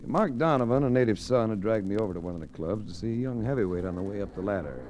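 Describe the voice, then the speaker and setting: An actor playing a cool, wry, measured detective hero in 1940s radio mystery style. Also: male voice, fairly low pitch, lively intonation, lightly acted. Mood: wry narration. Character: cool, composed male radio detective narrating his adventure. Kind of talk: 1940s radio acting, first-person narration and dialogue. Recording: faintly noisy old radio recording.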